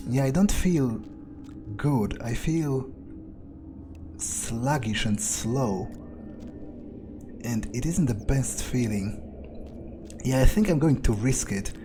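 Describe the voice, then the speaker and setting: A man roleplaying a weary, wary, sardonic vampire in a video game, thinking aloud in a halting, sweary mutter. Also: medium pitch, background noise, lightly acted. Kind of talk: in-character improvised gameplay monologue.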